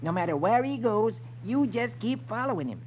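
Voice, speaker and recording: A man's voice: sits high, very animated, normal speed, darkish tone, pitched above the performer's own voice. A man voicing a clever, thoughtful, folksy rabbit in a 1950s radio storybook style. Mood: instructing. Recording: muffled, noisy 1950s radio recording.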